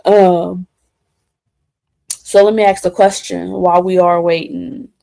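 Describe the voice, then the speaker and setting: Slow, mellow-voiced American woman. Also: on the low side, flat delivery, gravelly, dry recording. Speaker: co-host. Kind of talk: casual chat, interviewing.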